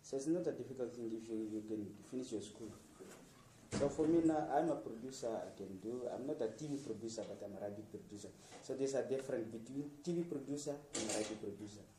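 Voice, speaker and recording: A radio producer from Namibia talking informally to students, encouraging them with plain, repetitive sentences and restarts. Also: male voice, medium pitch, quick pace, reverberant, distant mic, background noise.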